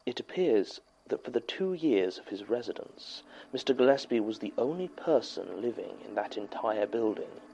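A stuffy english archivist for a horror podcast, dry and dismissive, gravelly voice, bit muffled. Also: male voice, lowish voice, a little mellow. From Bournemouth, England. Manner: formal, dismissive, measured. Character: dry, sceptical male archivist recording case notes. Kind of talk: dramatic scripted monologue, dictated case notes.

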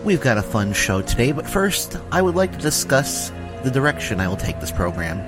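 A man's voice: medium pitch, big pitch swings, moderate pace. A man delivering a scripted introduction as the host of a horror fiction podcast. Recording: music under the voice. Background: incidental music.

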